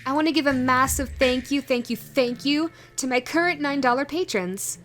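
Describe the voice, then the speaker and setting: A woman's voice: high voice, moderate pace, fairly bright tone, soft background music. A woman who hosts an audio roleplay channel, talking casually and directly to her viewers.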